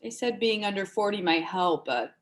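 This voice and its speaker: Stage drama: actress as gravely ill woman under forty, mid pitch, evasive, stumbling over words. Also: lively intonation, lightly acted. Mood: evasive, shaken. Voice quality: halting, stammering speech. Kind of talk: dramatic reading of stage dialogue.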